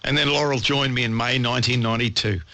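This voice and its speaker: A man's voice: mid-range voice, steady tone, fast, bright, forceful tone. A middle-aged Australian man chatting casually as a guest in a radio studio. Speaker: former breakfast radio host, studio guest. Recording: AM radio broadcast picked up online.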